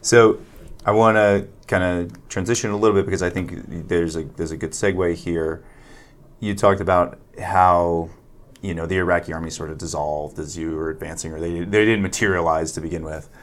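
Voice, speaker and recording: A male podcast host asking questions in a casual, gently probing way. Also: low-pitched, steady tone, normal speed. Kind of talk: conversational interviewing.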